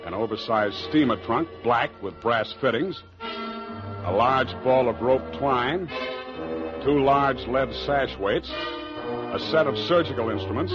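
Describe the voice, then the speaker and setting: A wry, tough private eye from 1940s radio. He speaks in a mid-range voice with clipped, dryly comic sarcasm. The old recording is dull, with music clearly behind him. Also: mid-range voice. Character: wry, hard-boiled male private detective. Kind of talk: 1940s radio acting, first-person detective narration.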